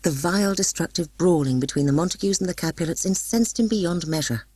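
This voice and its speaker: A woman reading a scripted storybook as an audiobook narrator, in a husky voice with dramatic, expressive delivery. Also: fairly low pitch, brisk, dry recording.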